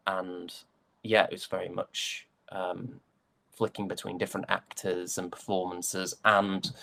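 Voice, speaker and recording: A man from Yorkshire with a softened British accent, chatting reflectively and hesitantly as an interview guest. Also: lowish voice, vocal fry, dry recording. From Yorkshire, England. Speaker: interview guest, stage and voice actor. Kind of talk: casual interview chat.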